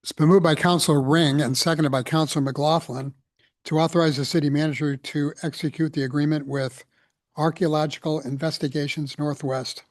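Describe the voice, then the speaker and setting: A man chairs a city council meeting, calling for motions and taking votes in brisk procedural language. His voice sits a little high for a man and is recorded dry and close, with almost no echo. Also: steady tone.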